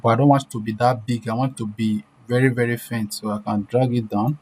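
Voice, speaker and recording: A woman presenting an informal screen-share software tutorial, repeating herself and often checking that listeners are following. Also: extremely low pitch, steady tone, fast, a little hiss or hum.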